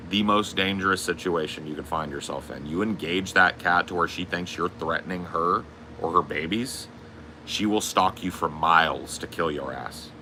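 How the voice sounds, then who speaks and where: Big booming low-voiced dude cussing thru a story, real bright punchy tone, faint hum behind. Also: male voice, moderate pace, poor audio. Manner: profane, storytelling. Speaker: podcast host. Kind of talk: casual chat.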